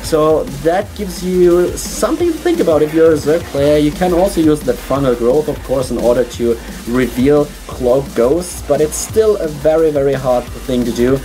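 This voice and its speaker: A man's voice: moderate pace, hardly any pauses, faint music behind. German-accented male esports caster. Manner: chatty, upbeat, pitch jumps when excited. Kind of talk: solo game commentary. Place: small studio.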